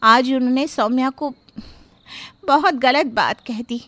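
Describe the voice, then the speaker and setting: A woman's voice: high voice, measured pace, background noise. A woman voicing an earnest young mother who stands up firmly for her daughter. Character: young mother, hurt but determined wife.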